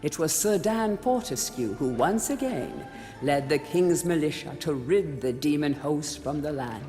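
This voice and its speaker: An actor playing a grave chronicler of an old fantasy kingdom, narrating a game's storybook history in a solemn, theatrical way. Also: male voice, fairly high pitch, lively intonation, measured pace, mellow. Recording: long hall reverb, music underneath. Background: music.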